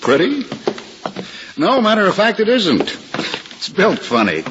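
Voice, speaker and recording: An actor playing a cheerful, joking young lawyer in a 1950s radio drama, breezy and reassuring. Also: male voice, high-pitched, huge pitch swings, slow pace, full character voice. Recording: muffled hissy old radio broadcast.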